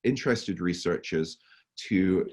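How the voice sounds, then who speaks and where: Hoarse low-voiced man, stammering restarts. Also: a little mellow. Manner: halting, many stammered restarts and fillers. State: hoarse, losing his voice with a cough. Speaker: workshop presenter. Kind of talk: academic Q&A answer on a video call.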